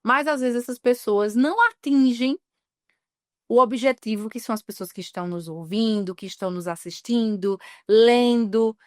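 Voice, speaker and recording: A woman journalist from Northeast Brazil, in her thirties, talking earnestly in an interview and slowing down on key words. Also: fairly high pitch, no echo, studio quality. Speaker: journalist guest. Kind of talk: reflective interview talk.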